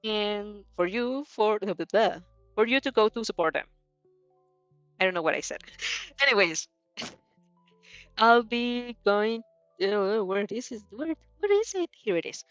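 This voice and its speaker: A woman chatting casually as a host, reacting to a story in a giggly, excitable, rambling way. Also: medium pitch, a little slow, long pauses, no echo, dull audio, faint music behind. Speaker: host reading fan fiction aloud and reacting. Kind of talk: casual reaction stream chat.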